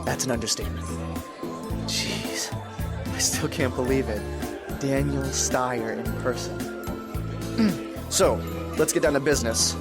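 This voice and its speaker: A man's voice: mid-range voice, slow pace, pauses often, loud music behind the voice. An actor playing a pushy, volatile young startup founder in an audio drama, with combative salesman patter. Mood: eager, pitching.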